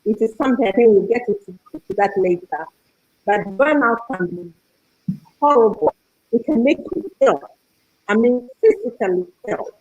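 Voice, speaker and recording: A woman's voice: on the high side, very animated, moderate pace, darkish tone, husky, no echo, lo-fi sound. An earnest woman, a parent guest, talking about her experience on a video call. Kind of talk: online talk show chat.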